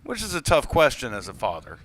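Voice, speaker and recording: A man's voice: mid-range voice, wildly swooping pitch, a little fast, a bit bright, a little hiss or hum. A man, a stand-up comedian and father, telling a story hesitantly and correcting himself as he goes during a casual panel chat.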